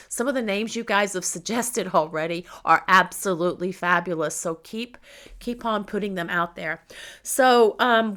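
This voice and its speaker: A middle-aged woman hosting a tarot reading, speaking in a calm, measured way and gently repeating her questions as she draws each card. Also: medium pitch. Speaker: tarot reader.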